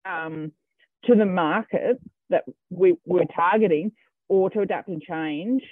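This Australian man talks in a fairly high voice for a man, pausing often with ums and restarting his sentences as he explains his farm. His voice comes through a thin, phone-like line that sounds dry, with no room around it. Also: no echo. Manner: hesitant, full of ums and restarts. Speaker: cattle farmer guest. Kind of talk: casual interview answers.

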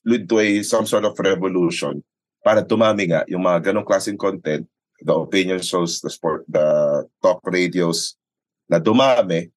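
A man with a Filipino accent talking conversationally as a sports commentator being interviewed, often checking for agreement with short tag questions. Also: low voice. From Philippines. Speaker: sports announcer and radio commentator, guest. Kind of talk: conversational interview talk in mixed English and Tagalog.

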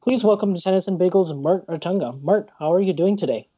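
A man co-hosting a casual podcast, speaking in a measured way with many fillers. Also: high-pitched, mellow, breathy edge, dull audio. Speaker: podcast co-host.

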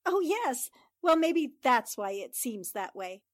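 A woman's voice: high voice, very animated, takes it slowly, no echo, clean studio sound, heavily acted. A woman acting a smart, plucky newspaper reporter, playful and teasing, in a 1940s-style radio drama.

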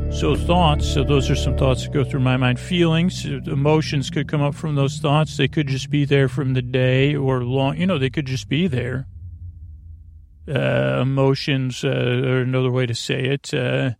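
A man hosting a sleep podcast with a meandering bedtime monologue in a creaky voice, hesitant and full of tangents and filler. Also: mid-range voice. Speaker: podcast host. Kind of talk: rambling bedtime monologue to lull listeners to sleep.

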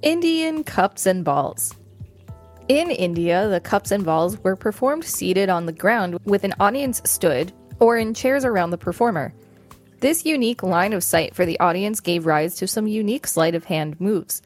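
A woman's voice: medium pitch, animated, a little slow, faint music behind. A female podcast co-host reading an encyclopedia article aloud in a casual, informal setting.

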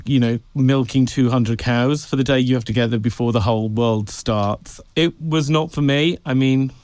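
A man's voice: medium pitch, moderate pace, darkish tone, no room sound. A man from Wales, chatting as a guest in an interview and telling stories with a laugh, recorded in an office. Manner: chatty, laughs often, storytelling. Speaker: guest, PR agency director. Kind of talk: casual interview chat.

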